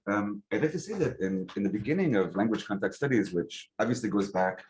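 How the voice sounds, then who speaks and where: Deep-voiced man giving a relaxed lecture. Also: brisk, low-quality recording. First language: English. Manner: relaxed, lots of um and uh, rhetorical "right?". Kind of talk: academic lecture. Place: lecture hall. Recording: faint background noise, clicks.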